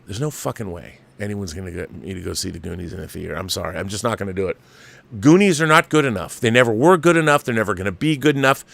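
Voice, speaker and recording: A man's voice: on the low side, animated, quick pace, gravelly, fairly bright tone. An older man hosting a movie talk show, reading viewer mail aloud and riffing on it in an opinionated, emphatic, conversational way.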